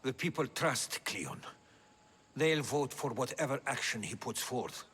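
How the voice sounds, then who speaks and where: Sober, determined conspirator. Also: male voice, mid-range voice, expressive, unhurried, fairly bright tone, breathy edge, heavily acted. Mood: resolute. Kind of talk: video game cutscene acting.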